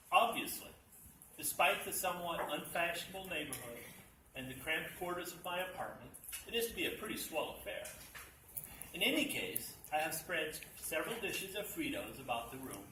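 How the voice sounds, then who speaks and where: A man reading a humorous story aloud at a library dinner event, in a dry, deadpan storytelling manner. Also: a little high. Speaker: reader at a literacy event. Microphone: distant mic. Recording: echoey room, distant mic, background noise.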